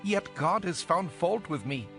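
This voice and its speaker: A high, swooping voice of an indignant young man, emphatic and oratorical, as in a Bible audio drama. He lectures his elders with fervor over music. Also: animated, unhurried. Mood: challenging. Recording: music behind.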